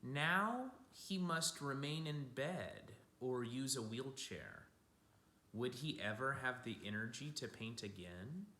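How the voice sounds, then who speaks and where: A man giving an expressive read-aloud of a picture book for children, like a teacher speaking to young listeners. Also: mid-range voice, slow pace. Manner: addresses young listeners with simple asides and questions. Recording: roomy, echoing room sound.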